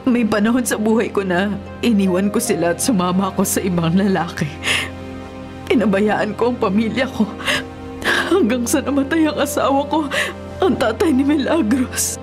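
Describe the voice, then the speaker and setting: A woman's voice: fairly high pitch, normal speed, over music, lightly acted. A woman acting in a Filipino radio drama as a remorseful, humble middle-aged mother with a pleading, sorrowful manner.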